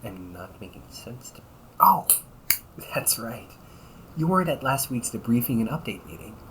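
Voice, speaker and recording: A man's voice: mid-range voice, sing-song, normal speed, fairly bright tone, slight background noise, low-quality recording. A man playing a cocky, weary, centuries-old but young-sounding vampire brother in a conversational audio roleplay, grumbling with dry sarcasm. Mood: casual, explaining. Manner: sarcastic, grumbling, often chuckling. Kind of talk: audio roleplay, conversational one-sided dialogue.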